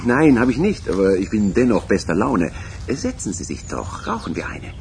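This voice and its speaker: Radio thriller role: easily rattled chatty gambler, emphatic, extremely lively delivery. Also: male voice, lowish voice, normal speed, slight background noise. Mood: cheerful, boastful. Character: excitable, chatty betting man, easily rattled. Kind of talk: German radio crime drama acting.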